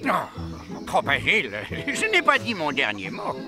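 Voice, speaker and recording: A fussy little cartoon bookworm with a high voice that swoops widely. The manner is pedantic and pleased with itself, explaining everything, over clear music. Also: male voice, huge pitch swings, a little slow, full character voice. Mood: determined, smug. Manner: pedantic, self-satisfied, explaining. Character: bookish, fussy little know-it-all cartoon sidekick. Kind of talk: French cartoon dub.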